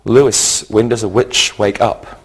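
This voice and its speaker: A man teaching English grammar in a scripted lesson, speaking in a deliberate, didactic way and setting out each point in short phrases. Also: lowish voice, fairly even intonation, slightly rough, low-quality recording.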